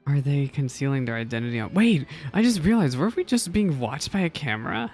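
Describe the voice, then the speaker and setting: A woman's voice: sits low, big pitch swings, brisk, darkish tone. A woman giving casual, joking reaction commentary as she plays a game. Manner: joking, chattering over the game. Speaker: game streamer. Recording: game music behind the voice.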